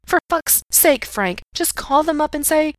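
A woman's voice: sits high, animated, brisk, fairly bright tone, dry recording, voice pushed up. A woman voicing a blunt, pushy young woman in an audiobook, speaking emphatically and with exasperation. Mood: exasperated, pushy.

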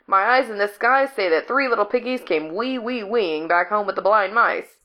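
A woman's voice: mid-range voice, lively intonation, normal speed, sharp tone. A woman voicing a cocky, foul-mouthed male radio informant with a mocking manner in a story. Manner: mocking, sardonic. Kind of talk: acted audiobook dialogue.

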